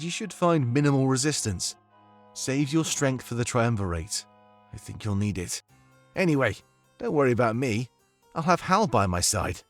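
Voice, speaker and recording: An actor playing an earnest young rebel leader who talks in an encouraging, expressive way, voiced for a visual novel game. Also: male voice, medium pitch.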